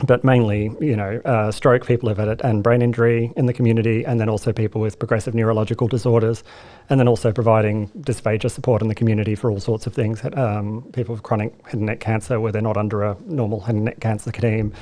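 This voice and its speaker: A man's voice: lowish voice, level tone, fast talker, dark tone. A man in his thirties with an Australian accent, chatting as a guest on a podcast, talking loosely with lots of fillers and restarts. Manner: chatty and self-deprecating, many fillers and restarts. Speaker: guest, speech and language therapist. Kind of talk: casual podcast interview.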